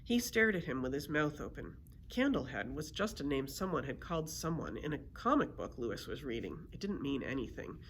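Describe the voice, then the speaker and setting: A woman reading a children's storybook aloud as a librarian, in an expressive storytelling manner. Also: a bass voice, a little fast, few pauses, slight background noise.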